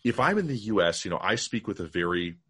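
American guy hosting a podcast, really low voice, chatty and enthusiastic, casual interview style. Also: very animated, quick pace, pushed and bright, breathy edge. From United States. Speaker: podcast host.

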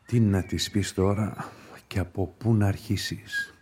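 An actor playing a sceptical police inspector in a radio play, musing and questioning in a dryly ironic way. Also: male voice, deep voice, fairly even intonation, a little mellow, a little hiss or hum, natural voice. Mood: suspicious. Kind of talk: radio play acting and monologue.

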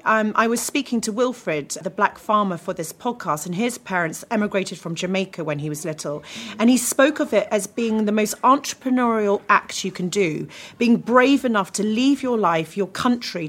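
A woman hosting a podcast interview, giving clear, prepared introductions and questions. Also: mid-range voice, lively intonation, normal speed, hardly any pauses. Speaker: podcast host.

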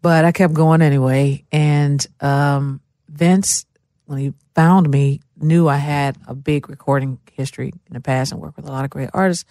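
A woman's voice: low voice, steady tone, moderate pace, warm tone, no room sound, studio quality. A middle-aged woman from Memphis, telling stories casually and with emphasis as an interview guest. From Memphis, Tennessee, USA.

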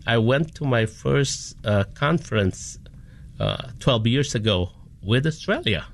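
A man with a Filipino accent, from the Philippines, answering interview questions in halting, measured phrases. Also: medium pitch, animated, slight background noise. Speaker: interview guest, community historian.

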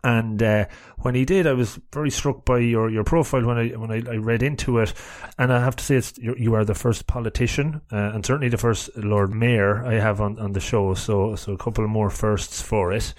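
A man hosts a podcast interview, putting earnest, searching questions to his guest. His voice sits fairly low, and his tone is conversational. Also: steady tone, a little fast, few pauses. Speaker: podcast host.

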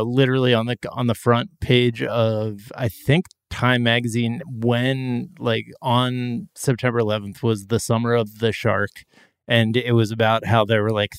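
A man hosting a podcast and chatting in a loose, rambling way with a gravelly, creaky edge to his voice. He is recorded close and dry on a studio mic. His sentences wander and he laughs between phrases. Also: mid-range voice, dry recording. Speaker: podcast co-host. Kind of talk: casual comedic chat.

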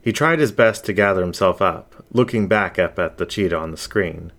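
Casting: male audiobook narrator, deep pitch, expressive suspense delivery for dramatic fiction. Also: moderate pace. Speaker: story reader. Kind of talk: dramatic scripted story narration.

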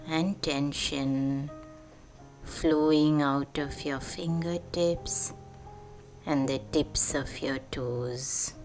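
A woman leading a guided sleep meditation in a soothing, deliberate way, with pauses between short phrases. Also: deep voice. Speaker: meditation guide, podcast host. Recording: voice over background music. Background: meditation music.

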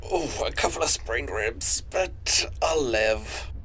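An actor playing a weary, gentle older man held hostage in an audio drama, measured and wryly understated. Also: mid-range voice, lively intonation, husky, heavily acted. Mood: weary, pained.

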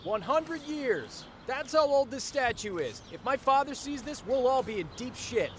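A man's voice: very high voice, lively intonation, moderate pace, fairly bright tone, slight background noise. A man playing a smug, impatient heir of a small-town family in a naturalistic audio drama. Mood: irritated. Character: entitled, irritable male heir to small-town family fortune.

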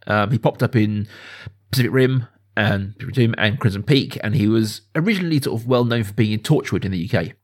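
A man around his thirties or forties hosting a casual film discussion, talking enthusiastically in a halting, discursive way. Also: lowish voice. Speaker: podcast host.

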